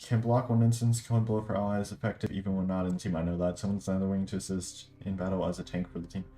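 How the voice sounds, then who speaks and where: A man's voice: sits low, level tone, fast, mellow. A man streaming a video game, giving informal commentary and muttering asides to himself as he plays. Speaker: gaming streamer. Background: game music.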